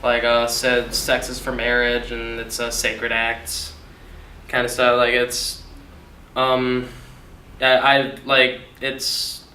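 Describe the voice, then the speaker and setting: Young guy from michigan, lots of um and uh, flat voice, roomy echo, kinda far off mic. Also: mid-range voice. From Michigan, USA. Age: young adult. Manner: halting, many fillers and restarts. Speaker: college student interviewee. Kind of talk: casual interview answers. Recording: echoey room, mic at a distance, faint noise.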